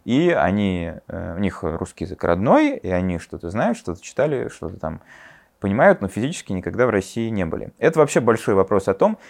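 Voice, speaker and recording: A man with a somewhat low voice talks through ideas on a casual podcast. He thinks aloud, stopping and restarting with fillers as he builds his point. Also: very animated, fairly quick, gravelly, darkish tone. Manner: thoughtful, hesitant, many fillers. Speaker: podcast co-host. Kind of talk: casual discussion.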